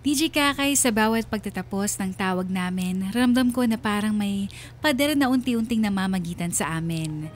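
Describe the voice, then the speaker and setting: An actress playing a troubled, pensive wife who narrates her letter in a radio drama, measured and sorrowful, with a Filipino accent. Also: mid-range voice, close to an everyday voice. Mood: uneasy, sad. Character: wistful, uneasy wife narrating her letter about family abroad. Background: music.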